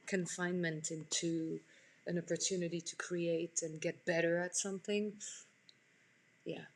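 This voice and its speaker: A woman's voice: lowish voice, vocal fry, rough recording. A woman in her forties from France, chatting casually with viewers as she draws in a live online class at home. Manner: chatty, answers viewer comments. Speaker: art instructor hosting a live stream. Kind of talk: casual chat while drawing. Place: home room, indoors.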